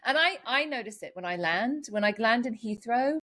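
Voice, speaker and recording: A woman's voice: fairly high pitch, animated, normal speed, sharp tone. A woman from England with an Americanized British accent, hosting a casual, chatty interview as a podcaster.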